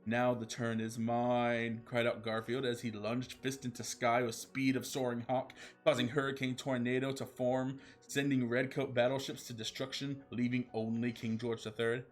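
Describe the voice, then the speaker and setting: A man's voice: mid-range voice, little pitch movement, moderate pace, few pauses. A man narrating comic fan fiction in a mock-dramatic way. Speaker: storyteller, show host.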